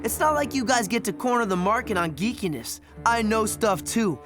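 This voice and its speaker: Higher-voiced streetwise teen tough guy. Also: expressive, moderate pace, over music. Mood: curious, indignant. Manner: wry, know-it-all. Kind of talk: animated game cutscene acting.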